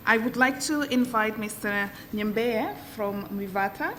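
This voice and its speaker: Female panel moderator, polite, steady mid voice, light hiss behind. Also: medium pitch, measured pace. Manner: polite, guiding, some fillers.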